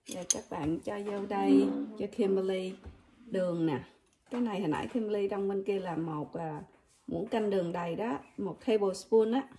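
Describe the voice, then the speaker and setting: A woman's voice: medium pitch, normal speed, noisy background. A woman with a Southern Vietnamese accent talks viewers through a recipe step by step, easy and conversational. Her voice sits in the middle of the range. Clinking dishes and utensils can be heard plainly around her in a home kitchen. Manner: chatty, addresses viewers directly, step-by-step. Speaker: cooking vlogger. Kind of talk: casual kitchen demonstration.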